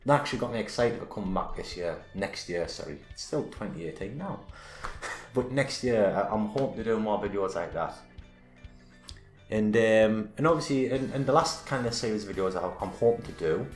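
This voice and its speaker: A man with a deep voice, hosting a casual channel update vlog, rambling and correcting himself with enthusiasm. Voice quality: self-described deep voice. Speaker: YouTube channel host. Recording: roomy echo with faint background music.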